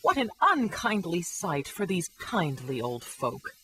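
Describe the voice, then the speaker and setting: A woman narrating a rhyming children's story with an expressive, scripted delivery. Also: on the low side, measured pace, slightly rough.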